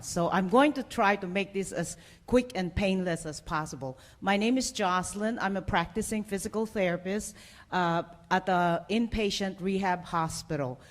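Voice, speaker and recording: A middle-aged woman from the Philippines, a physical therapist, telling her personal story to a conference audience in a conversational way, with frequent "uh" and "you know". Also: medium pitch.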